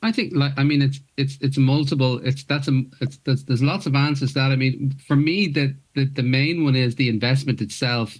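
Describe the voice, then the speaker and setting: A man's voice: mid-range voice, moderate pace, few pauses. An Irish man whose first language is Irish, an actor guest in a radio interview, talking earnestly with many stops and restarts. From Ireland.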